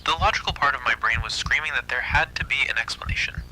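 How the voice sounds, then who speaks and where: Male narrator for first-person horror fiction. Very bright, sharp-edged delivery at medium pitch, faint noise and hum behind. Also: quick pace, rough recording.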